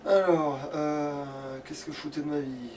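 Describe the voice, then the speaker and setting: A man's voice: a little high, fairly even intonation, normal speed. A man chatting informally as he plays a video game, swearing freely and thinking aloud. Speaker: let's play gamer playing the game. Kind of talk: casual gaming chat, commentary while playing. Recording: distant mic with faint background noise.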